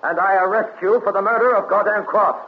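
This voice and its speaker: An actor playing a stern police detective who speaks in a deliberate, accusing way, in 1940s radio acting. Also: male voice, high-pitched, fairly even intonation, soft background music, close to an everyday voice. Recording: tinny 1940s radio broadcast.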